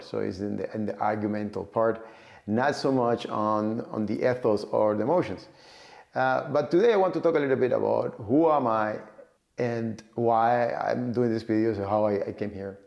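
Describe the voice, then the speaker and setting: A man's voice: lowish voice, gravelly, a little mellow, highs cut off. A man in his forties from Cuba, with a Cuban accent, talking casually about his own path into tech, with many fillers and repeated words. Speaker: data and AI tech professional. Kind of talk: casual personal talk to camera.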